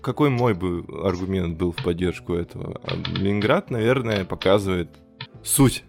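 A low-voiced man chats as a podcast co-host. His voice has a gravelly fry and he feels his way hesitantly through each point, with faint music underneath. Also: moderate pace. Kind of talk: casual discussion.

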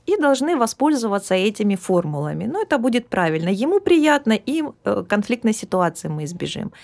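A middle-aged woman speaking as a philologist guest in a podcast interview, explaining at an even, measured pace and now and then losing her thread. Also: mid-range voice, gravelly. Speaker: philologist guest, department head.

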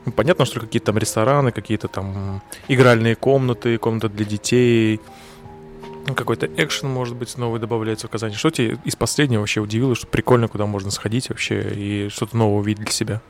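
A man's voice: on the low side, steady tone, fast, soft background music. A man co-hosting a podcast, asking questions in casual chat. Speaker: podcast co-host.

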